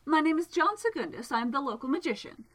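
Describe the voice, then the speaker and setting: A woman voicing a prim, even-tempered gentleman village magician who speaks with precise, polite formality. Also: fairly high pitch, brisk, slightly rough, heavily acted. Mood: coaxing.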